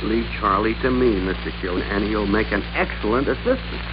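An actor playing a bossy, excitable office worker in an old-time radio drama, with a domineering, emphatic, theatrical delivery. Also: male voice, lowish voice, a little fast, over music, natural voice. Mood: self-assured. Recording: noisy, dull old radio recording.

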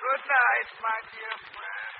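An actor playing a fretful, feeble old man who talks haltingly and repeats himself in an old-time radio drama. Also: extremely high pitch, level tone, creaky, heavily acted. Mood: querulous. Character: querulous, feeble, wandering old foreign man. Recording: muffled, noisy vintage radio recording.

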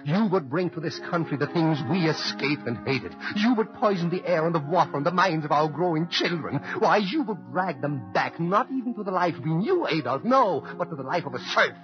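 A man's voice: low-pitched, a little slow. An actor in a 1940s radio drama playing a defiant immigrant American man addressing a dictator, declamatory and impassioned. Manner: impassioned, declamatory, building to shouts. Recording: lo-fi 1940s radio broadcast with orchestral music.

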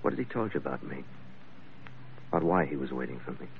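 A man's voice: extremely low pitch, lively intonation, fast, phone-quality sound, slight background noise. An actor playing a tough, lovestruck sea captain who tells his own adventure tersely in 1940s radio drama style. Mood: low, guarded. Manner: hard-boiled, terse. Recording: thin vintage radio recording with music.

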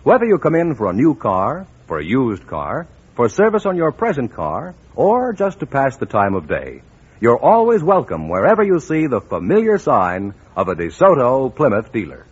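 An American man reading a scripted 1950s radio advertisement as a commercial announcer, with a husky voice. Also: mid-range voice, huge pitch swings, normal speed. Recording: dull vintage radio recording.

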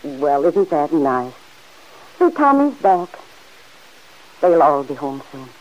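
An actress playing a gentle, worried older aunt in a 1940s radio drama, speaking softly and hesitantly. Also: female voice, deep voice, very animated, takes it slowly, heavily acted, poor audio.

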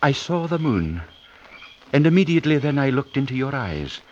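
An actor in an old radio drama playing a smooth-talking, pompous Scottish schoolmaster who woos in florid, poetic phrases. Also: male voice, medium pitch, sing-song, moderate pace, lightly acted. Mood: amorous, poetic. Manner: florid, poetic, theatrical wooing. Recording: muffled old radio recording, hiss, clicks.

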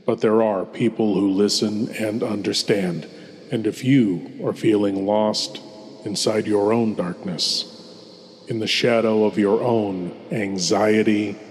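A man performing a sinister, courtly horror host, narrating in a solemn, measured, ominous way. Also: fairly low pitch. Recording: long cavernous reverb, faint music behind.

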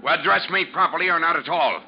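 An actor playing a stern, defensive sea captain, curt and authoritative, in a 1940s radio drama. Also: male voice, on the high side, fast talker, close to an everyday voice. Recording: dull, noisy old radio recording.

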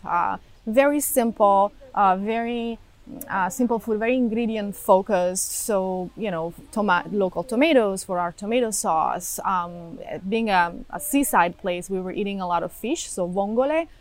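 A woman from Italy with an Italian accent, a wine shop owner chatting casually in an interview, halting with frequent restarts and easy laughter. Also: mid-range voice.

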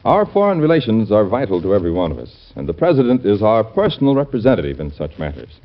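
A man's voice: fairly low pitch, wildly swooping pitch, fast. A man announcing in a formal, earnest and emphatic 1940s radio style. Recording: dull vintage radio recording.

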